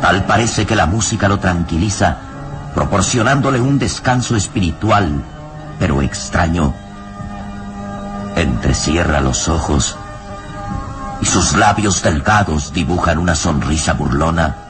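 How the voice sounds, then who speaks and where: A man narrating a radio serial in a solemn, ominous, dramatic style with deliberate phrasing. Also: sits low, lively intonation, over music.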